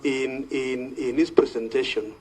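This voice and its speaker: A husky man's voice with a dark, mellow tone and a Kenyan accent. He addresses a committee formally as a senator, feeling his way through points and repeating words. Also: mid-range voice, fairly even intonation. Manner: measured, hesitant, repeats words. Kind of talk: formal parliamentary debate.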